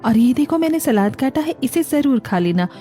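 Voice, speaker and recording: A woman acts out a devoted young daughter-in-law from a cartoon family drama. Her voice is high and mellow, and she speaks with gentle respect and care, over clear background music. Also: lively intonation, normal speed. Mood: affectionate, caring. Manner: reassuring, respectful. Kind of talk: Hindi cartoon family story acting.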